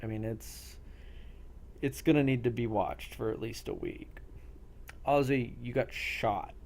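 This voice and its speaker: A man working as game master, narrating a role play game in a halting way with pauses between phrases. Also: medium pitch, gravelly, a little mellow.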